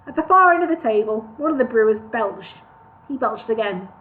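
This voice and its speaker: A woman's voice: a little high, animated, fairly quick. A woman reading a comic fantasy novel aloud on a livestream, adding chatty asides. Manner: reads with asides, laughs at comments. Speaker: audiobook reader. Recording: tinny phone-like sound.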